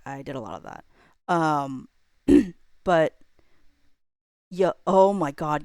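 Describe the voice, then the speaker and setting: Low-voiced woman chatting casually on stream. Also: dry recording, clean studio sound. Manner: rambling, self-deprecating, informal slang. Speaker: livestreamer. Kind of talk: casual livestream chat.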